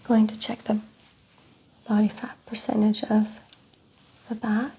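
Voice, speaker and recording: A woman speaking softly in an ASMR medical exam roleplay, giving gentle clinical instructions as a doctor. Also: mid-range voice, level tone, measured pace, husky. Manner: soft, whispery, gently clinical instructions and remarks. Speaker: ASMR performer playing a doctor.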